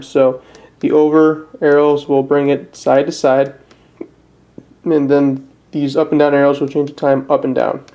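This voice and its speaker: Flat-toned young Midwestern American man. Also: a little high. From northern Illinois, USA. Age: young adult. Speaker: amateur how-to presenter. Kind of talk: casual step-by-step tutorial. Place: indoors at a desk.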